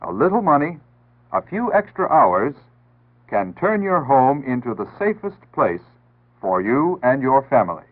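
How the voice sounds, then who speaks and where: A man's voice: mid-range voice, sing-song. Tinny vintage American male PSA announcer. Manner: measured, instructive, earnest. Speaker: public service announcer. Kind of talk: 1960s civil defense PSA scripted narration. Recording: tinny band-limited 1960s broadcast audio.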